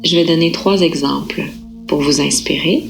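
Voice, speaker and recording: Low-voiced woman guiding a calm relaxation. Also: vocal fry, soft background music. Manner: calm, unhurried, with gentle pauses. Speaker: yoga nidra instructor. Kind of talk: guided relaxation meditation, slow and soothing instruction.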